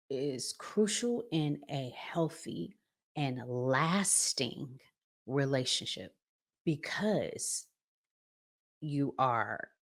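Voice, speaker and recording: Slow, very animated low-voiced American woman. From United States. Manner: animated, emphatic, drawn-out key words, colloquial. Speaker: relationship advice channel host. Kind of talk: casual advice talk with acted-out examples.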